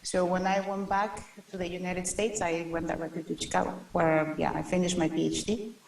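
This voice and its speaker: A woman's voice: lowish voice, steady tone, gravelly, slight background noise. Echoey, distant, hesitant woman. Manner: hesitant, halting delivery. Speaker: panelist, researcher. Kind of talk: self-introduction at a panel discussion. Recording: echoey, distant remote-panel sound.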